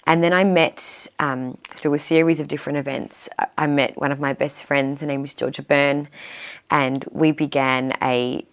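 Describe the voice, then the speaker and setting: A young woman in her twenties, a guest musician with a low voice, chatting casually and filling pauses with "um". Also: steady tone, clean tone. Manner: chatty, fills pauses with "um", laughs easily. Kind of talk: casual interview chat.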